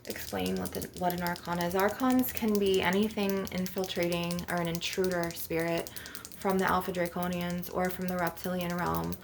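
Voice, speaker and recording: A woman's voice: fairly low pitch, level tone, moderate pace, vocal fry, slight background noise. A woman healer talking informally and emphatically to her video audience in a spiritual ASMR session, with casual filler phrases and some swearing. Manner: conversational, emphatic, peppered with swearing and "you know".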